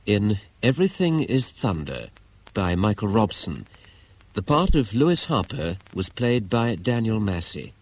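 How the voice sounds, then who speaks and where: A British male radio announcer reads scripted credits formally. His voice is fairly low and very unhurried, with precise phrasing and lively rises and falls.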